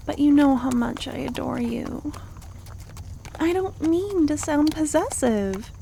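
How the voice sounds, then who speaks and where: A woman's voice: on the high side, darkish tone, slight background noise. A woman voicing a jealous, possessive necromancer girlfriend in an ASMR roleplay, venting with theatrical indignation. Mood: possessive, adoring.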